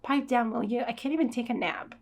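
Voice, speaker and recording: A woman's voice: fairly high pitch, brisk. A woman reading out the lines of a haughty, brusque young manga prince in an imperious, curt manner. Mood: irritated.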